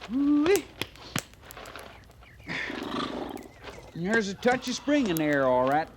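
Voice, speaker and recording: Dreamy cowboy cavalry private, high voice w/ lots of swing, western drawl, old radio show w some hiss. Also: male voice, big pitch swings, normal speed. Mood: dreamy, cheerful. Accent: American, rural western. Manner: drawling, folksy, hesitant under pressure. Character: easygoing, dreamy cavalry trooper, folksy and work-shy. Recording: noisy old radio drama recording.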